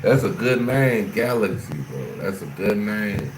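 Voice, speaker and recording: A low-pitched man from Florida hosts a laid-back livestream, full of slang and repeated questions to his chat. His voice bounces around an echoey room. Loud noise runs underneath him the whole time. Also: sing-song, unhurried. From Jacksonville, Florida. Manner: loose slangy banter, repeats himself, asks viewers questions. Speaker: livestream host. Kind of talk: casual livestream chat with viewers.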